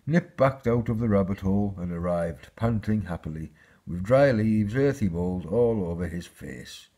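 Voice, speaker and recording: A man with a husky voice, reading a children's fairy tale aloud as a storyteller. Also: deep voice, animated, normal speed, mellow.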